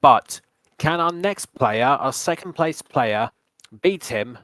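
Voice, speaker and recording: A man hosting a quiz show with measured, formal delivery that sometimes restarts its phrases. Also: medium pitch, lively intonation, a bit bright, dry recording.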